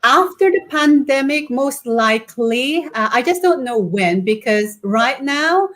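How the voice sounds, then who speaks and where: A woman's voice: high voice, expressive, unhurried, a bit bright. A woman from the Philippines talking casually as an interview guest, candid and lighthearted. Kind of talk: casual conversational talk.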